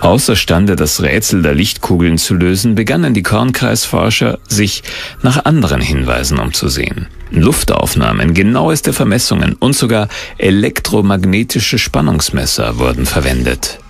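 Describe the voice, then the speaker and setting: Very deep, creaky male documentary narrator. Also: big pitch swings, normal speed.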